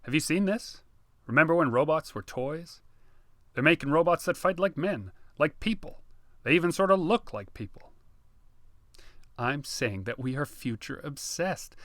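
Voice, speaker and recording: A man voicing an animated, insistent man who frets about the future in an audiobook. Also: fairly high pitch, normal speed, dry recording, voice pushed up. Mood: musing, animated. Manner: insistent, emphatic, exasperated. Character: man obsessed with future, agitated, animated talker.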